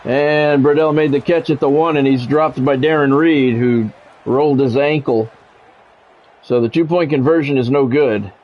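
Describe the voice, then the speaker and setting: A man calling live play-by-play of a football video game in measured, short clipped announcer phrases. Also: medium pitch, fairly even intonation. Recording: dull lo-fi audio with music behind.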